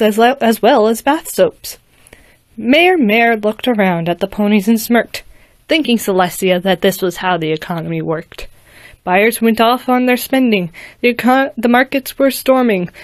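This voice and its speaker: A woman's voice: a little high, dry recording. A woman casually reading a rhyming fan fiction story aloud, now and then stumbling and restarting a word. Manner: casual, slightly halting with restarts. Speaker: fanfiction reader.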